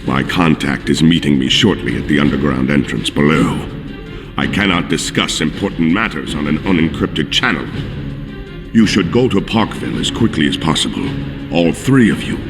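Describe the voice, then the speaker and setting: A man voicing a scheming, pompous alien villain in a video game, with slow, deliberate, formal phrasing. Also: rumbling low, music in the background. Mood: formal, guarded. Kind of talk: video game cutscene acting.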